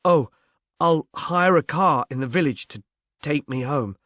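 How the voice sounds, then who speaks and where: A man voicing a shy, bewildered young man with a halting, slightly stammering delivery in a mystery audiobook. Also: a little high, fairly bright tone, dry recording, studio quality. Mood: plaintive. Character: bashful, bewildered young man, haplessly miserable. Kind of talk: audiobook character voice, British mystery novel.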